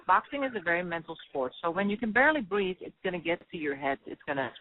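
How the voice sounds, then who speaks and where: A woman's voice: fairly low pitch, big pitch swings, fast talker, slight background noise. Emphatic woman trainer on a phone line. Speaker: boxing trainer. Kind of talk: phone interview.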